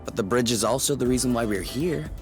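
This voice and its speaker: Game hero male actor, young, mid-pitched, casual and hopeful, tentative reassurance, music in the background. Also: fast, lightly acted. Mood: thoughtful. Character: easygoing, reassuring young man, hopeful partner. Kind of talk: video game cutscene acting.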